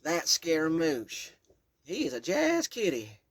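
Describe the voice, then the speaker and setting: A woman's voice: low voice, huge pitch swings, slow pace, dry recording, studio quality, pitched above the performer's own voice, exaggerated voice. A man voicing a tough, proud great-grandmother in her later years, speaking with a Southern Kentucky accent in a blunt, bossy drawl. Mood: insistent. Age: older.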